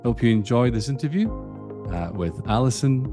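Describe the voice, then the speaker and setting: Scottish man, podcast host, casual friendly chat, everyday pitch, faint music underneath. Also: animated, normal speed. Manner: friendly, with fillers.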